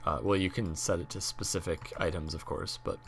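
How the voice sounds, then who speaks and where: A young man with a hoarse voice from a cold, rambling casually with many fillers while talking about a game he streams. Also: deep voice, steady tone, brisk, slight background noise. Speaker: gaming YouTuber and streamer. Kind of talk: casual talk over gameplay.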